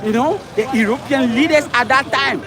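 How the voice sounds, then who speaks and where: Fervent man amid a loud crowd. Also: very high-pitched, normal speed. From Ghana. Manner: fervent, repetitive rallying speech. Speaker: vlogger reporting from a march. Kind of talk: outdoor street vlog commentary. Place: outdoors at a street march. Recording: loud crowd noise outdoors. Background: chanting crowd and sirens.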